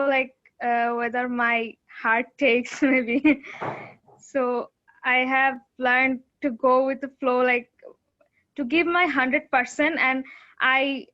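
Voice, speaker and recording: A woman in her twenties from Bangladesh, introducing herself hesitantly on a video call with many pauses. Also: sits high, bright, forceful tone, highs cut off. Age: 20s. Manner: hesitant, many fillers and restarts. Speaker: student participant.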